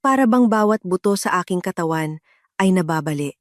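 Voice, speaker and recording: A woman narrates a dramatic story in the first person. Her voice sits a little low, and the sound is dry, with no room around it. The delivery is scripted storytelling. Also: animated, fairly quick, no echo.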